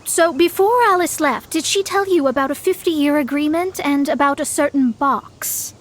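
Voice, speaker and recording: A woman's voice: high voice, normal speed, natural voice. A woman playing a proud young female astrologer in a video game, speaking in a haughty, formal, precise and easily exasperated way. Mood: disappointed. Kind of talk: video game character acting.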